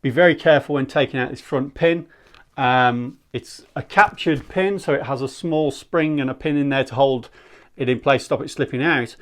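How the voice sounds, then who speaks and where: A man's voice: mid-range voice, normal speed, a bit bright. A man giving a casual, candid product review in a conversational, rambling way. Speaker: airsoft gear reviewer.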